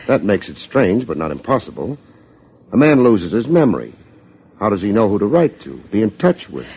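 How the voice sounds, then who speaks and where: An actor playing a wry, hard-boiled New York private detective in old-time radio drama style, sardonic and probing. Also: male voice, lowish voice, very animated, normal speed, husky, heavily acted. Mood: businesslike. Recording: dull, muffled old radio recording.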